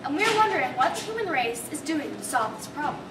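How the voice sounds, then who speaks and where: A woman acts as a courteous news host in a puppet show. Her high voice swings animatedly through formal, recited lines, with clear background noise. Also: very animated, brisk. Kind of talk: scripted mock newscast. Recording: noisy amateur room recording.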